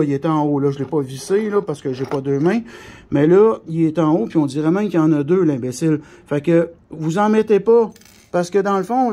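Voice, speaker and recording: A man's voice: sits high, a little mellow. A man with a Québécois French accent giving a casual, unscripted hands-on product demonstration in blunt, colloquial language. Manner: blunt, colloquial, mildly crude. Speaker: CB radio shop specialist presenting a product tutorial.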